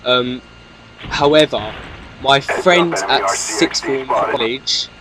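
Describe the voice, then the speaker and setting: Hesitant British teen guy, monotone. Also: medium pitch, slow, crowd noise, poor audio. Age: late teens. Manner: hesitant, full of ums and restarts. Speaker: gaming YouTuber. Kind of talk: gameplay commentary. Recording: loud game gunfire behind. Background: loud video game gunfire and explosions.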